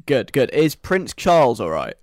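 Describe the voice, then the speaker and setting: A man's voice: mid-range voice, very animated, normal speed, no room sound, clean studio sound. A British man hosting a radio show in a casual, chatty, wry and self-deprecating conversational way.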